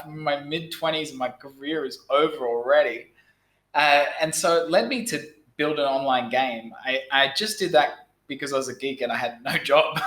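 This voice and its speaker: A man's voice: a little high, fairly bright tone, echoey room. A man in his thirties from Australia with an Australian accent, a podcast guest talking bluntly and casually, often restarting his sentences. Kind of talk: casual interview talk.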